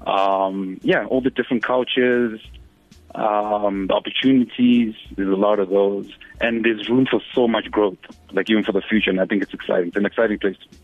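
A man's voice: fairly low pitch, fairly quick, no echo, faint music behind. Man on a tinny radio line. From Zimbabwe. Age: 30s. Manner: relaxed, many fillers and restarts. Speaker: guest. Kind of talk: casual interview. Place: radio studio. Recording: dry, phone-like radio studio sound.

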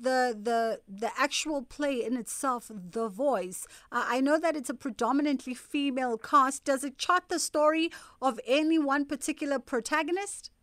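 A woman's voice: high-pitched, normal speed, few pauses, a bit bright, no room sound, studio quality. A woman hosting a conversational radio interview.

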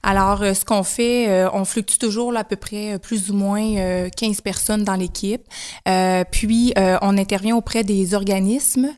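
A woman's voice: medium pitch, steady tone, normal speed, a little mellow. A woman from Quebec with a Québécois French accent, a guest in a podcast interview, speaking candidly and thoughtfully with many hesitations. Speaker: guest entrepreneur.

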